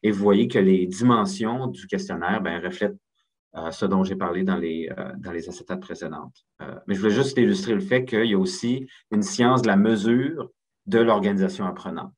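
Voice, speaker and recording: A man in his fifties speaks with a Québécois French accent in a fairly low voice. He presents as an executive at an academic seminar. His delivery is measured and semi-spontaneous, with hesitations and repeated words as he builds each point. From Quebec, Canada.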